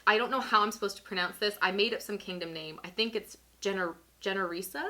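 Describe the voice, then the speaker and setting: A woman in her twenties hosting a casual comedic vlog, giggly, animated and self-mocking, sometimes mock-solemn as she reads aloud. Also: medium pitch, fast talker. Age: 20s. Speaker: vlog host reading own teenage writing. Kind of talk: casual comedic vlog chat with dramatic reading.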